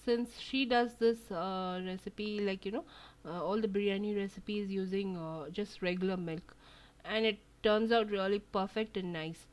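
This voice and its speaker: A woman, Tamil, talks through a recipe step by step in an easygoing, chatty way. Her pitch sits in an ordinary middle range. She pauses often with little fillers as she cooks. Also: poor audio. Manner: relaxed, chatty, many fillers. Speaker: cooking channel host. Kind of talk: home cooking tutorial voice-over. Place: home kitchen.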